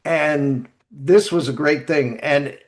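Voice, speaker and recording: A man's voice: on the high side, slow pace. A man hosting a podcast casually, introducing guests with enthusiasm and appreciation. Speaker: podcast host.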